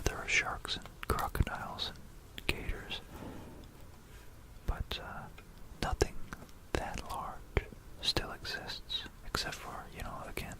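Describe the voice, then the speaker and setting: An ASMR creator speaking in a soft, unvoiced whisper, mixing reading aloud with informal, musing asides, close to a stereo microphone. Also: poor audio. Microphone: close stereo binaural microphone.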